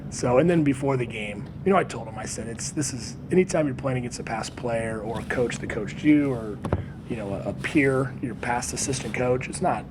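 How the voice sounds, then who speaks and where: Male coach talking over clear background noise. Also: mid-range voice, moderate pace. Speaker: soccer coach. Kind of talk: post-game interview remarks.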